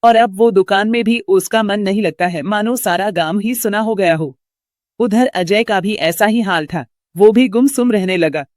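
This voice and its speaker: A woman's voice: mid-range voice, moderate pace, a little mellow, dry recording. Female narrator for scripted storytelling; mid-range voice, recorded dry.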